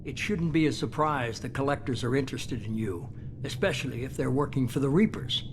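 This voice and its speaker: Measured actor as a calculating male spymaster. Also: mid-range voice, background noise, natural voice. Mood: grave, calculating. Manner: measured, controlled, commanding. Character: calculating, authoritative middle-aged male spymaster boss. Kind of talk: video game voice acting.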